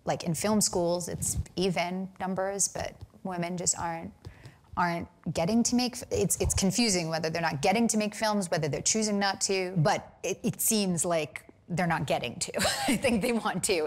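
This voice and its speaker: A young American woman answering interview questions thoughtfully, with many pauses, fillers and restarts. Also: on the low side. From United States. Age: young adult. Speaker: film director, interview guest.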